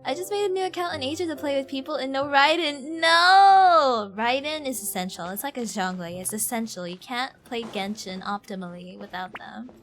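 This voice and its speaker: A woman streamer talks casually over her game. Her voice is somewhat high, with a playful, expressive delivery. Faint game music runs behind her. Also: moderate pace, few pauses. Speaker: live streamer.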